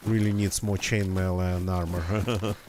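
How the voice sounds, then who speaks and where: A man's voice: deep voice, fairly even intonation, a little slow, noisy background. A man streaming a survival game with casual, rambling commentary, his voice a little nasal and congested from a recent cold. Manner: rambling, self-correcting.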